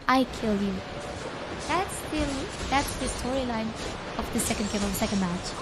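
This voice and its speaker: A woman with an Indonesian accent commentates a game live, chatting playfully and informally with viewers and often repeating her phrases. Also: a little high. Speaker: esports livestream caster. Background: music.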